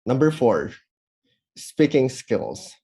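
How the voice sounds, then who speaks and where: A man giving formal, measured feedback as a judge, working through numbered points. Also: mid-range voice, big pitch swings, gravelly, mellow, breathy edge. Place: online event recording.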